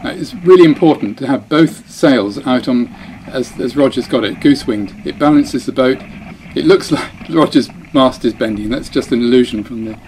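A man talks over footage of his own sailing race in a chatty, self-deprecating way, often breaking off mid-phrase and starting again. His voice sits at an ordinary middle pitch. Faint boat and water noise runs underneath. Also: normal speed, few pauses, darkish tone, poor audio. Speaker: dinghy racing sailor.

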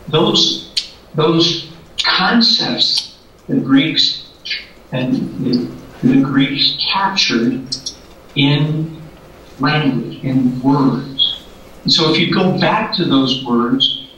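Slow, halting man lecturing in an echoey room. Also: mid-range voice, sharp tone, a little hiss or hum. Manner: halting, repeats words while thinking. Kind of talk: academic lecture with slides. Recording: distant, echoey, dull room recording.

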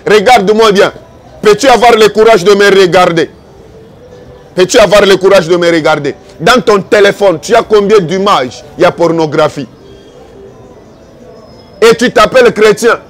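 A male evangelical preacher with a Congolese accent and a very high-pitched voice. He delivers a fervent sermon in an exhorting, accusatory manner. He drives his points home through repetition and rhetorical questions.

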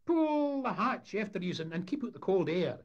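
A man doing a loud, cheeky young deckhand. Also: high-pitched, big pitch swings, normal speed, muffled recording, voice pushed up. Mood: jaunty.

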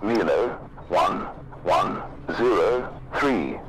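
A man's voice: lowish voice, animated, very slow. A man speaking as an airline pilot, giving scripted readbacks through an aviation radio effect. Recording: noisy aviation radio transmission.

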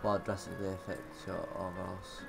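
A man's voice: low voice, quick pace, vocal fry, over loud music. A teenage boy, a gaming YouTuber, chatting casually through a horror game from his bedroom. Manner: chatty, animated, shrieks when startled.

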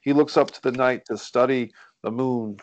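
A man's voice: mid-range voice, steady tone, moderate pace, darkish tone, a little hiss or hum. A man hosting a script read and reading out the stage directions. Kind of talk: scripted screenplay narration. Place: indoors on a group video call.

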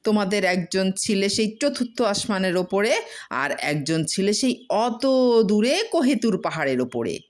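A woman's voice: mid-range voice, expressive, unhurried, pitched above the performer's own voice, lightly acted. A woman voicing a crafty male traveller in a storybook, plaintive with feigned innocence. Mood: mock-indignant.

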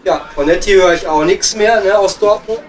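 An actor playing a weary, thirsty coal miner in a pub comedy audio play, grumbling in matey slang with a Ruhr German accent. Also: male voice, high-pitched, normal speed, a little hiss or hum, natural voice. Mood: complaining. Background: music.

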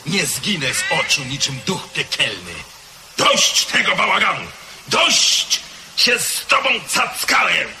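An actor playing a menacing, mocking communist commissar in a radio play, sneering and theatrical. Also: male voice, sits high, huge pitch swings, slow, pushed and bright, slight background noise, full character voice. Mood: furious threat. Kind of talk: 1970s radio drama acting.

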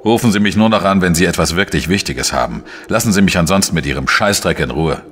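An actor playing a dry, defiant investigative journalist in a radio play, with a sardonic, laconic manner. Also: male voice, sits low, expressive, fast talker, fairly bright tone, breathy edge, exaggerated voice. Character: dry, defiant male investigative journalist, narrating his case. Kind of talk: radio play dialogue and first-person narration.

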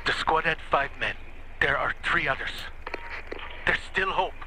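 A man's voice: fairly high pitch, measured pace, exaggerated voice, low-quality recording. An actor playing an anxious male squad commander in a video game, talking urgently in military radio phrasing. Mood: worried. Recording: loud game noise behind.

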